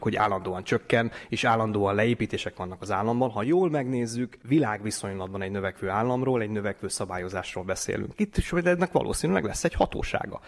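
A man giving an interview as an expert, arguing his points with rhetorical questions. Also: on the low side. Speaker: interviewed company president and legal expert. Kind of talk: interview, explaining.

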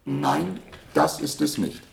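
An actor playing an obsolete household robot in a radio play, polite, formal and literal, a slow-witted servant. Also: male voice, a little high, lively intonation, creaky, roomy sound, soft background music, exaggerated voice. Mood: matter-of-fact. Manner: courteous, formal, matter-of-fact. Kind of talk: German radio play acting.

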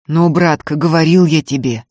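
A narrator playing a hot-tempered, rough young peasant man in a dramatic audiobook. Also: medium pitch, normal speed, no room sound, lightly acted. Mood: muttering, urging. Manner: yells and hisses through gritted teeth.

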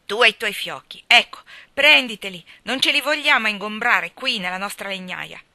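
A woman's voice: moderate pace, sharp tone, no room sound. A woman voicing a spiteful, gleeful little girl who mocks and mimics in a storybook reading. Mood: indignant, scornful. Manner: taunting, mimicking, shrill.

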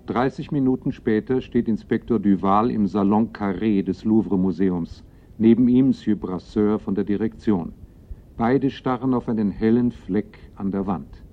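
Man as low-voiced radio crime narrator. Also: lightly acted. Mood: matter-of-fact, suspenseful. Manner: measured, literary. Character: dry, atmospheric male narrator of a crime story. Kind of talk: scripted radio play narration. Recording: dull, lo-fi old radio recording.

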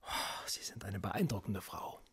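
A man's voice: fairly low pitch, animated, measured pace, slightly rough, heavily acted. An actor playing a police interrogator in a radio play, pressing and sarcastic as he questions a suspect. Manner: pressing, sarcastic, quietly needling. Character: probing male cop, middle-aged, cold and persistent.

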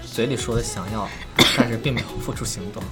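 A woman's voice: a bass voice, steady tone, quick pace, faint music behind. A woman co-hosting a casual live stream, chatting informally.

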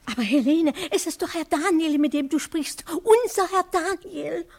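A sweet, naive old lady in a radio drama, with a high voice. She speaks in a fretful, soft-hearted way, deferring readily to others. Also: normal speed. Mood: dismayed, fretful. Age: older. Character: gentle, sentimental, naive old woman, easily swayed. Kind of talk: German radio play acting.

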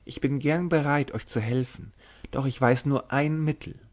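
A man's voice: medium pitch, steady tone, moderate pace, a little mellow, close to an everyday voice. A bold young witch-maiden from a gothic storybook, as a male narrator plays her. She is sly and secretive, speaking in formal literary phrases with a confiding, commanding manner. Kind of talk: dramatic literary audiobook reading.

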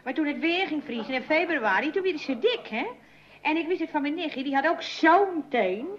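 An actress playing a nervous, folksy housemaid who chatters hesitantly and deferentially, in old radio comedy style. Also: female voice, high voice, lively intonation, moderate pace. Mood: nervous, chatty. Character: nervous, fearful, chattering working-class housemaid. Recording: muffled, noisy old radio recording.

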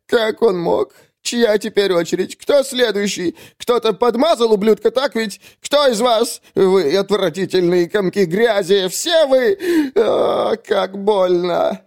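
A shrill, high-pitched male poet on the rack. He wails with melodramatic self-pity and bitter indignation, his voice straining far above a normal speaking register. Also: lively intonation, takes it slowly, gravelly, full character voice. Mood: anguished fury. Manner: shrill, melodramatic wailing and complaining. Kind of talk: audiobook character acting.

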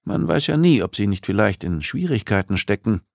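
A man voicing a female police psychologist who is polite, persistent and gently probing. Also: fairly low pitch, sing-song, fairly quick, creaky, no echo, clean studio sound, full character voice. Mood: polite, businesslike.

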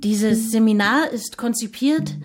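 An actress playing a polished, formal seminar moderator who presents a distance course in a radio drama, in an instructive manner. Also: female voice, on the high side, a little slow, natural voice. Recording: loud plucked guitar music underneath. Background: plucked guitar music.

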